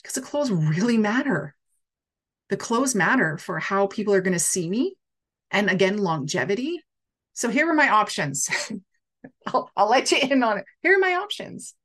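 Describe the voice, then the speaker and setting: A middle-aged woman hosts a casual live stream, talking straight to her viewers in a chatty, animated way. Her voice sits at an ordinary middle pitch, and she reads out their comments as she goes. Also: normal speed. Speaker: coach hosting a live stream.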